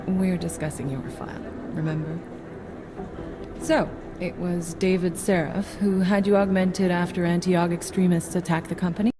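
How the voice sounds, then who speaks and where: An actress playing a composed, straight-talking agency doctor in a video game, measured, dry and probing. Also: female voice, lowish voice, steady tone, over music, lightly acted. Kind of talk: video game cutscene acting.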